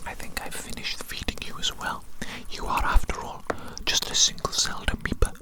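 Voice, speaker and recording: Whispering, musing mad doctor in ASMR roleplay. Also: natural voice. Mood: pleased, scheming. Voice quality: breathy unvoiced whisper. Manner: slow musing, repeats phrases softly. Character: evil mad scientist doctor, musing, doting on creation.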